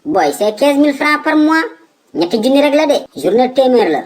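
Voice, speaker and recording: A man's voice: extremely high pitch, big pitch swings, fairly quick. An actor voicing a cheeky swindling man in a comedy cartoon, with a Senegalese accent and brash street slang. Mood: brash, bossy. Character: cheeky swindling man, a father posing as an official.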